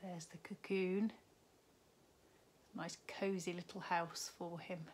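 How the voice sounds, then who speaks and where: A woman in her thirties reads a picture book to young children at a slow, unhurried pace. Her voice sits a little low, and she lifts it brightly on key words. The webcam recording carries a faint background hiss. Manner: slow, expressive storytime reading with lively rises on key words. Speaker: primary school teacher. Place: living room at home, indoors.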